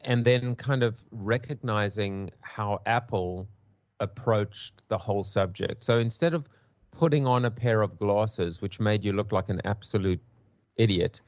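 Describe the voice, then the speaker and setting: South African-accented man, low voice, dry and muffled remote audio, halting guest on a panel. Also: no room sound. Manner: hesitant, many restarts and repeated words. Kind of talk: casual podcast discussion.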